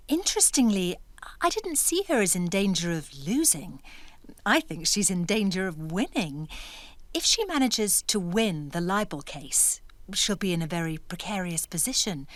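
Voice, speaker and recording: Confident, probing actress, lively intonation. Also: female voice, mid-range voice, dry recording. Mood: assured. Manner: polite, hesitant fillers, then pointedly questioning. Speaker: actor in an audio drama. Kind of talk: naturalistic audio drama dialogue.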